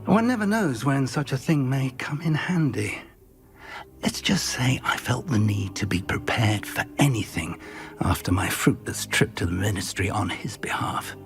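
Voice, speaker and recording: Wry, expressive professor, played by a man. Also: mid-range voice, normal speed, soft background music, lightly acted. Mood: thoughtful, urgent. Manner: formal, thoughtful. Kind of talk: video game cutscene acting.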